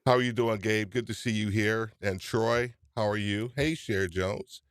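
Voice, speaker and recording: Man with a New York accent, lower pitch, energetic live-stream host, dry close sound. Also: moderate pace, clean tone, dry recording. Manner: energetic, greets chat viewers by name. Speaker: podcast livestream host. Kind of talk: casual live-stream chat.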